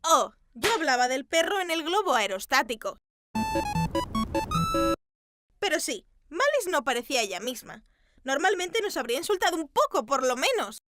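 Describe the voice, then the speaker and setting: Scatterbrained, kind young cartoon princess, high-pitched, animated, chatty and dramatic. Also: female voice, fairly quick, full character voice. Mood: chatty, concerned.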